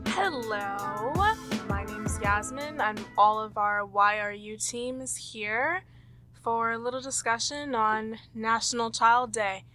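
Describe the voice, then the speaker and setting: A woman's voice: a little high, fairly even intonation, slow, music in the background. A woman with a Canadian accent hosting, presenting quiz questions and leading a group discussion. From Toronto, Canada. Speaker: host and quizmaster.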